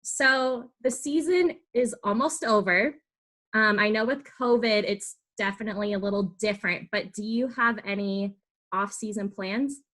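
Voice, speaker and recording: A woman's voice: mid-range voice, normal speed, a bit bright. A woman hosting a podcast and asking chatty, enthusiastic interview questions. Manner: chatty, enthusiastic, uses "um" and "y'all". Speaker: podcast host.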